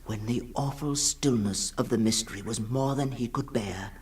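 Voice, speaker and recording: A man giving a solemn, dramatic literary reading in the style of 1960s radio, swinging between hushed and ringing tones. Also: medium pitch, normal speed, husky. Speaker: storyteller, reader. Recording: 1960s radio production with music behind. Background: music.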